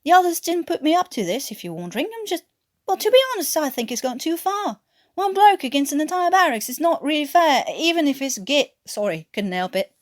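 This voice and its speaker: A woman's voice: high-pitched, big pitch swings, brisk, pitched above the performer's own voice. A woman voicing a cheeky, reasoning male British airman in an attempted English accent, blunt, chummy and sardonic, for an audio story. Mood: exasperated.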